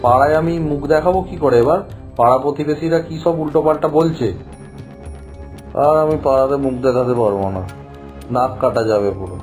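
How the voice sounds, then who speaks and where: A man's voice: mid-range voice, fairly quick, pauses often, darkish tone, faint music behind, close to an everyday voice. A man playing an exasperated, fretful young newlywed son who scolds his family in a cartoon. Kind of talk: Bengali moral-story cartoon voice acting.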